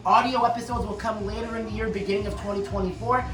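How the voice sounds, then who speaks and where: A man hosting a casual chat show, with a booming voice and a loud, joking, informal way of talking that includes swearing. He is speaking in a gym office without microphones. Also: steady tone, fast talker, far from the mic, background noise. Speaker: podcast host. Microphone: no microphones, voice picked up from a distance. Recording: echoey room, voice picked up from afar.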